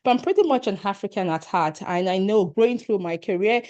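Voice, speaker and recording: A woman's voice: mid-range voice, fairly quick, a little mellow, highs cut off. Earnest Nigerian-accented woman coach. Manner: earnest and encouraging, addressing attendees directly. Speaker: leadership coach. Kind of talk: online workshop presentation.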